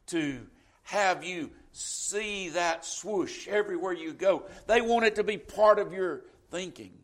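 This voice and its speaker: Southern guy preaching, kinda high voice, chatty sermon with lots of "you know". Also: fairly bright tone. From Florida, US. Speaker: pastor.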